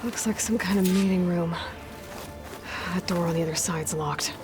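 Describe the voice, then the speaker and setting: Low-voiced, wary young huntress played by an actress. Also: female voice, normal speed, lightly acted. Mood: serious, resolute. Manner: terse, watchful, often muttering thoughts to herself. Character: young female outcast huntress, wary, focused, resolute. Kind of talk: naturalistic video game acting. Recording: game soundtrack music behind the voice.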